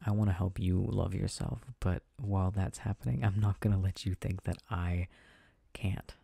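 A man playing a gentle, affectionate boyfriend in a soft, intimate ASMR roleplay, speaking haltingly and reassuringly. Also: sits low, steady tone, gravelly, husky, dry recording, exaggerated voice. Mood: earnest, firm tenderness.

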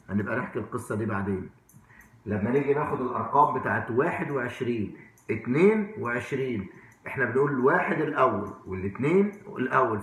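A middle-pitched man with an Egyptian accent teaches informally, as if at a whiteboard. He talks conversationally, repeating words for practice and checking with questions. The room around him rings with heavy echo. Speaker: English teacher. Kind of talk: informal whiteboard language lesson. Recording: very echoey room.

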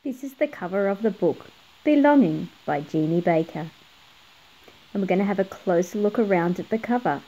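A woman's voice: medium pitch, lively intonation, moderate pace, warm tone, lo-fi sound. A middle-aged woman narrating a picture book for children, explaining things and putting questions to young viewers. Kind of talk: read-aloud narration for children.

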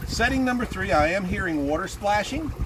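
A man in his sixties casually demonstrating boat motors, talking in a lively, rambling way and correcting himself, recorded outdoors on a small boat by a mounted camera. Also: high voice, fairly quick. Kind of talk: casual product demo. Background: motor and water noise.

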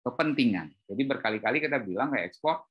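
A man's voice: mid-range voice, quick pace, husky, dull audio. Middle-aged man explaining on a call. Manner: animated storytelling, acts out dialogue. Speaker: speaker at an export training webinar. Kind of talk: informal explanatory talk with analogies. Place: indoor room on a group video call.

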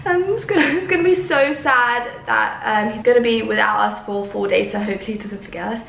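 A woman in her twenties talks to her vlog in a casual, chatty way. Her voice sits fairly high but is fried and croaky from a cold. There is clear noise behind her. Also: fairly quick. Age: 20s. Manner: chatty, expressive. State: croaky from a cold and sore throat. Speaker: vlogger.

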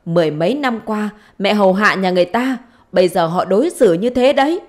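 A woman's voice: medium pitch, expressive, normal speed, close to an everyday voice. A woman voicing a spiteful, foul-mouthed middle-aged village wife in a story, scolding, wailing and taunting. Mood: bitter complaint.

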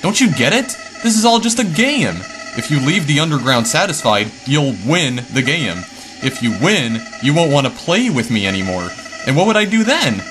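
A man's voice: mid-range voice, lightly acted. A man voicing a spiteful evil flower who taunts and cackles, with music playing underneath.

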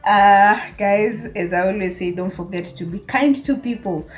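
A woman's voice: medium pitch, moderate pace. A woman in her twenties with a Kenyan accent, chatting casually and playfully to a handheld camera in her small apartment. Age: 20s. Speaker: lifestyle vlogger. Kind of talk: casual vlog chat, mixing English with Swahili. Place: small tiled studio apartment. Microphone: phone or camera at arm's length.

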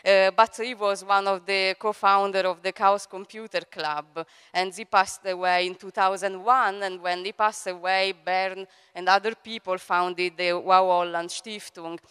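A woman's voice: mid-range voice, flat delivery, unhurried, hardly any pauses, clean tone. A woman in her forties with an Italian accent, hosting a conference and introducing speakers on stage with many fillers, into a handheld mic. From Italy. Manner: hesitant, many fillers, warm thanks. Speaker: event director and host. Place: large event hall on stage. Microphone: handheld microphone. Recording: long hall reverb.

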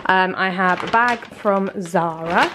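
Chatty young woman, slightly hoarse. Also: fairly low pitch, slow pace, noisy background. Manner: chatty, lots of "um" and "like". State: slightly sore throat from a cold. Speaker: vlogger. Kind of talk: casual chat to camera. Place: bedroom at home, indoors. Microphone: handheld camera, arm's length or mirror.

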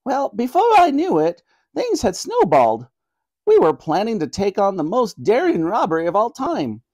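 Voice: medium pitch, huge pitch swings, moderate pace, darkish tone, voice pushed up. A reader voicing a kindly, apologetic elderly grandmother who speaks earnestly and politely in a children's story. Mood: sheepish, confessing.

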